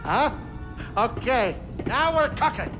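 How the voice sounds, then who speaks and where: A man playing a grumbling but soft-hearted older alien starship captain in a video game, with a folksy, fussy delivery. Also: very high voice, very animated, slow pace, full character voice. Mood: upbeat. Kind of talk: cinematic video game acting. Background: music.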